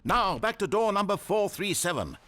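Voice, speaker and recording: Pompous game narrator, swooping pitch. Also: male voice, very animated, moderate pace, sharp tone, slight background noise, full character voice. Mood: encouraged, coaxing. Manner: pompous, theatrical, wordy. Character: pompous, fussy male narrator goading the player. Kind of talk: scripted video game narration.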